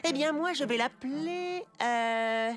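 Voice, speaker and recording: A woman's voice: sits high, slow pace. A woman voicing a bratty, scheming boy villain in a French cartoon dub, haughty and melodramatic in delivery. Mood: gloating, possessive. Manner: pompous, melodramatic declamation. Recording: loud music behind the voice.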